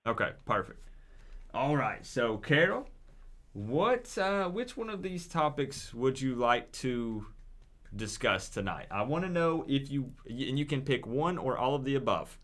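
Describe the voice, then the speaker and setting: A man hosts a live call-in stream in a medium-pitched voice. He talks casually and directly to his audience, with an informal, encouraging way of drawing them in. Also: very animated, measured pace, a bit bright. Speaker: livestream host.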